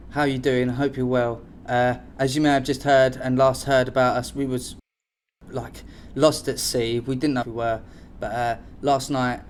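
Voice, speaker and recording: A man's voice: mid-range voice, a little hiss or hum. A man with a British accent hosting a casual, improvised podcast, chatting in slangy, sweary language. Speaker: podcast host.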